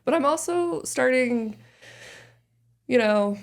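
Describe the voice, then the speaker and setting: A woman in her thirties with a deep voice, chatting casually and rambling a little as a podcast guest. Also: slow, vocal fry. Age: 30s. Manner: relaxed, rambling, many fillers. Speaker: podcast guest, host's sister. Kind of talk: casual family chat.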